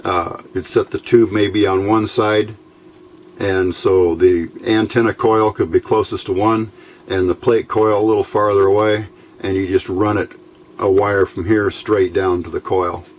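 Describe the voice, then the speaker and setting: A man giving an informal, unscripted hands-on tutorial as host, explaining step by step in a halting, plain-spoken way. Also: on the low side, little pitch movement. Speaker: host of a DIY electronics tutorial channel. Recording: clearly noisy home recording.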